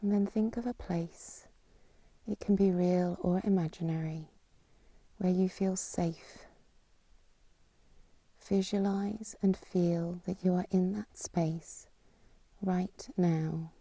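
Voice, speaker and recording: Female meditation teacher, lowish soft breathy voice, calm and unhurried, close dry sound. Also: no room sound. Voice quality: soft, breathy, half-whispered. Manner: calm, soothing, unhurried with long pauses. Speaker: yoga nidra teacher. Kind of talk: guided meditation, slow spoken instructions.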